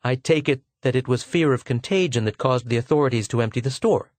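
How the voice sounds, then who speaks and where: Man acting abrupt, demanding big man, raised pitch. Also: fairly quick, a little mellow, no echo, lightly acted. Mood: sharp, suspicious.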